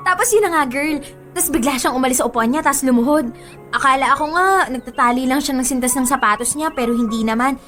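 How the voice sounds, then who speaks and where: A woman's voice: sits high, lively intonation, brisk, a bit bright, faint music behind. An actress with a Filipino accent playing a giddy, girlish young bride-to-be in a radio drama, gushing to her friend. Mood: happy, gushing.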